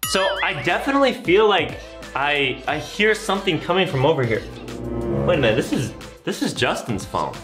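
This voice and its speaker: Young dude, gaming host, swoopy over the top delivery, repeats himself, music playing. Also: male voice, fairly high pitch, normal speed, echoey room. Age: 20s. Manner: exaggerated, animated, repeats phrases. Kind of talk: excited gameplay commentary and skit for viewers.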